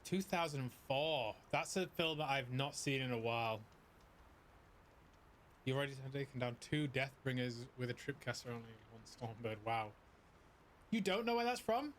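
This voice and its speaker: A man's voice: mid-range voice, moderate pace, a bit bright, a little hiss or hum. A man from northern UK with a mild British accent, chatting casually and laughing easily while live streaming a game. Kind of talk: live gameplay chat. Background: game music.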